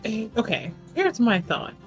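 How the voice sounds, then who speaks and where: A woman playing a tabletop roleplaying game, talking casually and deliberately as she reasons aloud. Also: a little high, big pitch swings, lo-fi sound, faint music behind.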